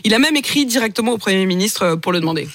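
A woman hosting a morning radio show, introducing segments with brisk, amused interjections. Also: medium pitch, expressive, a bit bright, no room sound, clean studio sound.

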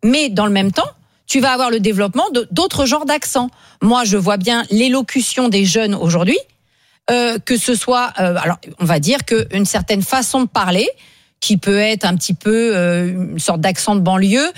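A woman argues her point with emphatic conviction on a radio panel. Her voice sits at a middle pitch. It is close-miked in a dry studio with no echo. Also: normal speed. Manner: opinionated, animated, emphatic. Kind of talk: studio discussion. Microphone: studio microphone.

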